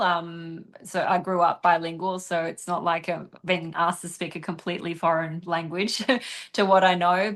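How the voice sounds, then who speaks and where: Young woman chatting in an interview, bit low voice, laughs a lot, lots of ums. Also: fairly bright tone. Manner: chatty, laughs often, frequent ums and restarts. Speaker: interviewed actress and dancer. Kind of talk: relaxed conversational interview answers.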